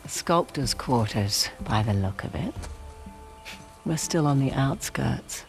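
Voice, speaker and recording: A woman's voice: rumbling low, animated, takes it slowly, faint music behind, heavily acted. A woman playing a confident adult treasure hunter and thief in a video game, with dry, breezy, teasing banter. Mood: knowing, relaxed. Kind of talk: naturalistic video game acting. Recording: game ambience and vehicle noise behind.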